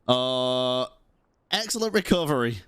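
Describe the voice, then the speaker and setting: A woman's voice: extremely low pitch, animated, a little slow, fairly bright tone, clean tone, dry recording. A woman streaming a game with casual, reactive and sweary commentary.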